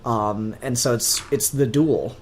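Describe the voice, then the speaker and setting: A man's voice: mid-range voice, slow pace, slight background noise. A man co-hosting a podcast in casual chat, informal and rambling in the way he talks. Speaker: podcast co-host.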